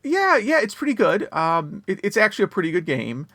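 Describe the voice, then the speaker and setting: A man's voice: sits high, huge pitch swings, brisk, a bit bright, no echo. A man chatting casually about video games as a podcast co-host, rambling and wryly complaining.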